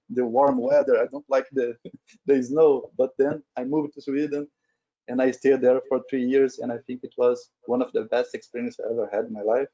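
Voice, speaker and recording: Brazilian man, mellow voice, slightly dull audio. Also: medium pitch, breathy edge. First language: Portuguese. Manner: conversational, frequently tags statements with "right?". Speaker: webinar speaker, agile leader at a retail company. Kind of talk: informal webinar presentation.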